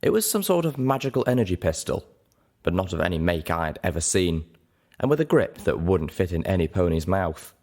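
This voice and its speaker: A man's voice: deep voice, sing-song, brisk, a little mellow. A man giving a dramatic scripted narration of a story as an audiobook reader.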